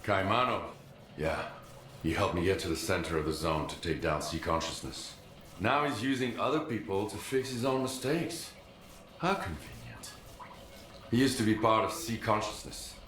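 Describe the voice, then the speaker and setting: An embittered low-voiced loner in an echoey room. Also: male voice, lively intonation, moderate pace, fairly bright tone. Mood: scornful, measured. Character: embittered, defiant male loner of a forbidden zone. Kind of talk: video game cutscene acting. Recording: roomy, echoing game audio.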